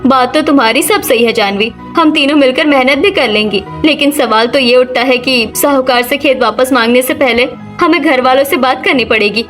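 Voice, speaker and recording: A woman's voice: high-pitched, normal speed, hardly any pauses, fairly bright tone, faint music behind. A woman voicing a thoughtful, hopeful young village daughter-in-law in a cartoon story.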